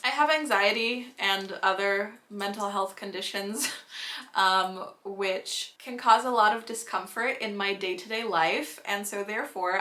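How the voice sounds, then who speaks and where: A woman's voice: medium pitch, pushed and bright, reverberant. A woman in her twenties from Romania with an American-sounding accent, chatting casually as she goes through the things in her bag. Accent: American-sounding English from international school. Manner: chatty and self-amused, listing items. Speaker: vlogger. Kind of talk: casual chat showing bag contents. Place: small bedroom, sitting on a bed.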